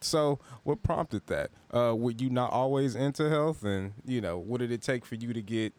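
A man co-hosting a podcast, asking his guests relaxed, curious questions. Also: medium pitch, normal speed, no room sound. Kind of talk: interview questions.

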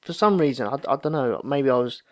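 A man's voice: mid-range voice, lively intonation, a little fast, a little mellow. A man in his thirties chatting casually and telling anecdotes, acting out the remarks he quotes. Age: 30s. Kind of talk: casual chat, storytelling.

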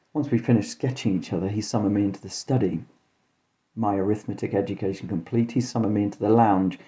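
A man hosting and narrating a scripted comic horror story in a deadpan, mock-dramatic way. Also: fairly low pitch. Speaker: podcast host and storyteller.

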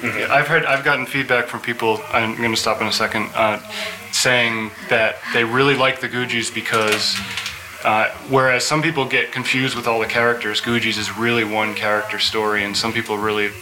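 A man with a middle-pitched voice hosts a panel. His talk is loose and halting, and clear noise sits behind him on the live recording. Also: steady tone. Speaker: panel host and podcaster. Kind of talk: casual hosting chat.

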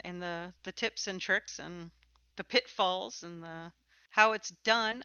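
Female podcast host, casual and encouraging, crisp forward voice on dry, slightly lo-fi audio. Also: fairly low pitch, bright, forceful tone, dry recording. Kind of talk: casual conversational interview.

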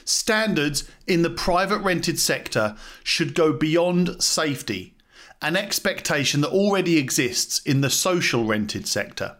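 A man giving a measured, formal read-aloud narration of a written document, as a podcast host. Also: fairly high pitch, animated, fairly bright tone.

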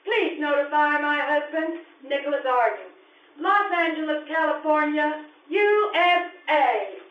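A woman's voice: high-pitched, animated, measured pace. A woman playing a shipwrecked wife in a radio play, declaiming a plea for rescue emphatically. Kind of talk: stage radio-play acting. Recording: echoey, distant, muffled stage audio.

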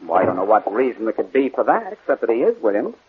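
Lively, cocky radio sleuth. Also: male voice, mid-range voice, fast, breathy edge. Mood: cocky, needling. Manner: breezy, flippant, needling. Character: cocky wisecracking amateur sleuth, teasing the police. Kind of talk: 1940s radio detective acting. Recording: old radio broadcast, narrow and noisy.

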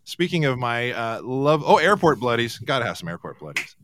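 A man's voice: mid-range voice, sing-song, a little fast, background noise. A man hosting a live call-in show, chatting casually with guests. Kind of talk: casual group chat.

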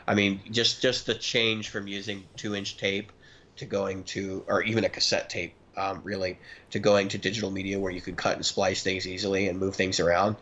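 Low-voiced young man, muffled podcast audio. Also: little pitch movement, normal speed, a bit bright. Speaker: podcast co-host. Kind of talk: casual chat about gear and recording.

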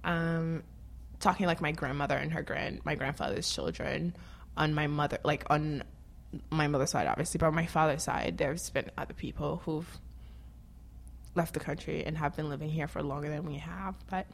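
A woman's voice: low-pitched, fairly even intonation, moderate pace, gravelly. A woman from Haiti speaking casually in an interview, rambling with lots of fillers and frequent laughter.

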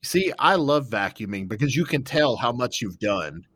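A young man co-hosting a podcast from his home office, animated and casually profane. He records on a close, dry mic with little room sound. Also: mid-range voice, moderate pace, husky, no echo. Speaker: podcast co-host. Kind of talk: casual chat. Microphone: home recording setup.

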